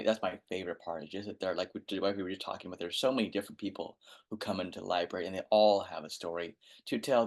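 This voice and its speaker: Deep-voiced, enthusiastic man rambling. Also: vocal fry. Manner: enthusiastic, rambling with many restarts and fillers; says he has a quiet speaking voice. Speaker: guest librarian. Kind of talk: casual podcast interview.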